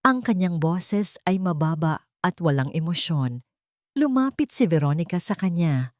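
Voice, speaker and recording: A woman narrates an audio drama in a low, close and dry voice. Her delivery is solemn and measured, carrying emotion through a scripted first-person story. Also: animated, a little mellow, dry recording.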